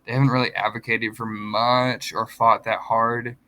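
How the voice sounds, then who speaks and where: A man's voice: medium pitch, level tone, normal speed, bright, forceful tone. A man in casual podcast chat, explaining politics at length and correcting himself as he goes. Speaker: podcast co-host.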